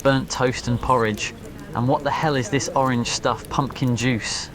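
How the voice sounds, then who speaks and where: A man's voice: medium pitch, fairly even intonation, unhurried, crowd noise, natural voice. A man voicing an irritable, haughty teenage wizard who mutters and grumbles in an audio story. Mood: irritated, grumbling.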